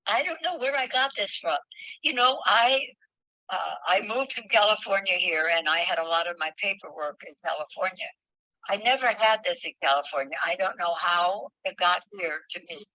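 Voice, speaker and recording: A woman who is a spiritual leader, speaking haltingly with pauses as she reads and shares devotional thoughts with a group over a phone call. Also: lowish voice, pushed and bright, no echo. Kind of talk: devotional reading and heartfelt group sharing. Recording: dull, dry online call audio.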